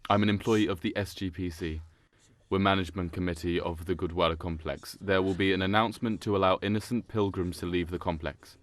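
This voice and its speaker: A man's voice: low voice, steady tone, a little fast, lightly acted. A man playing a kindly temple employee reassuring pilgrims in an audio drama.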